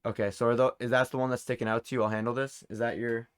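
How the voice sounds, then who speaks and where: A man's voice: mid-range voice, little pitch movement, rapid-fire, clean tone. A man with a mid-range voice acts as quiz host. He talks informally and casually, reading out questions and multiple-choice options as he goes. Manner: informal, reads questions and answer options aloud. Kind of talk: hosting a game trivia quiz in casual chat.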